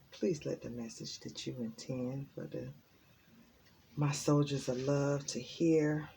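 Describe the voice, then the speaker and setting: A woman giving a casual spiritual tarot reading in a raspy, just-woken voice, rambling and earnest with many ums. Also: rumbling low, slight background noise. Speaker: tarot reader.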